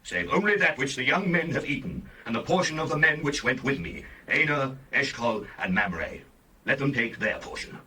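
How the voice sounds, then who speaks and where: A man's voice: mid-range voice, big pitch swings, moderate pace, bright, forceful tone, husky, full character voice. An actor playing an earnest, devout, pleading Hebrew patriarch, a man speaking reverently in a dramatized scripture reading. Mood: firm, resolute. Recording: distant from the microphone.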